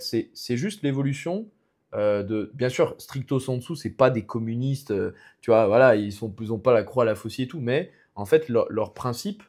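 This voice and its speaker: A young man from France talks casually as a podcast guest. His speech rambles, with plenty of restarts and filler sounds. His voice sits at an ordinary pitch for a man. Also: darkish tone. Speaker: guest, MMA fighter.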